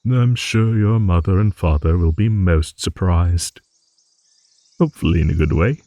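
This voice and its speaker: A man's voice: low-pitched, a little slow, mellow, dry recording. A man voicing an ancient, good-natured old dragon who rambles and muses in a fantasy audio roleplay. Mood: drowsy, courteous. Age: older. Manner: rambling, musing, with hums and chuckles.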